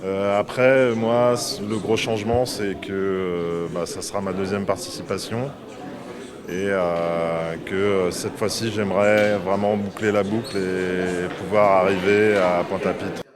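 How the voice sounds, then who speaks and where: Low-voiced skipper, crowd around. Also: male voice, flat delivery, unhurried. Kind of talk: press interview. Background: crowd chatter.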